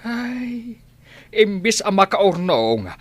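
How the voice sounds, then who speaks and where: A man's voice: sits high, sing-song, very slow, no echo, heavily acted. A man playing a grumbling, doubtful old village father in an Ilocano radio drama, nagging and exclaiming. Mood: worried, grumbling.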